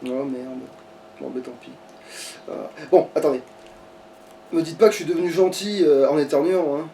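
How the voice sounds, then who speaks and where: A man's voice: on the high side, normal speed, dark tone. A man playing a video game and commenting casually, with exasperated, foul-mouthed ranting. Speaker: let's player, playing the game. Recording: distant mic, slightly noisy.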